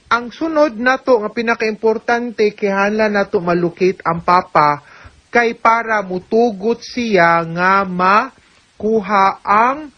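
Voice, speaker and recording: A woman with a Cebuano Filipino accent giving conversational, step-by-step legal advice in answer to listener questions, often adding small check-in tags. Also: mid-range voice. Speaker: legal adviser on a radio programme. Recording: dull, lo-fi radio audio.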